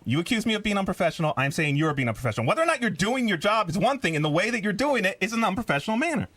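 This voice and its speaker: A man with a nasal voice in a heated office argument, loud, combative and needling. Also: high-pitched, big pitch swings, rapid-fire. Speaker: radio show staffer.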